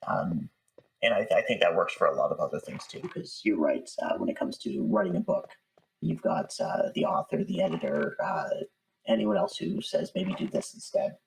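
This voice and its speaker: A man's voice: low voice, very animated, normal speed, vocal fry, slight background noise. A man answering as an interview guest, chatting casually in a hesitant, thoughtful way. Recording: slightly distant microphone.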